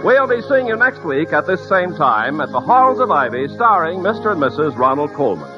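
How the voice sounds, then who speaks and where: A man's voice: fairly high pitch, big pitch swings, normal speed, music in the background. A man announcing a scripted radio show and its cast in a mid-century broadcast style. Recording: muffled vintage radio recording.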